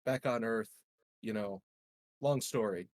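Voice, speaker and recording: A man's voice: on the low side, takes it slowly, no echo, clean studio sound. A man improvising in character as a player in a tabletop game, telling things in a rambling, evasive, hesitant way.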